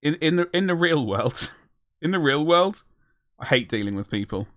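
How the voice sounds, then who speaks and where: A man's voice: a little high, lively intonation, normal speed, a bit bright, no echo, studio quality. A man chatting casually on a live stream as he paints miniatures, rambling and self-deprecating as he answers his chat.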